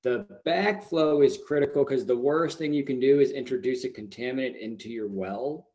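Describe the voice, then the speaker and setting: A man's voice: medium pitch, normal speed, a little mellow, muffled recording. Matter-of-fact man in his thirties. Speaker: agricultural extension specialist. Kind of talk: explanatory video-call talk. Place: small plain room indoors. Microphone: computer mic on a video call.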